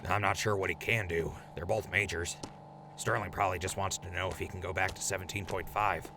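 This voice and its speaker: Man as a gravelly, low-voiced wisecracking mercenary. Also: fairly even intonation, a little fast, noisy background. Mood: casual joking. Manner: casual, joking. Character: talkative, wisecracking wasteland mercenary crew leader. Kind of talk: scripted post-apocalyptic radio drama.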